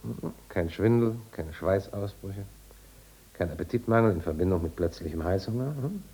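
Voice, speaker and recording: An actor playing a smugly genial, probing asylum doctor in a 1950s German radio drama, speaking in a patronising, unhurried manner. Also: male voice, low-pitched, animated, warm tone, slightly rough, full character voice. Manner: patronising, unhurried, murmuring little questions. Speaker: medical officer and psychiatrist.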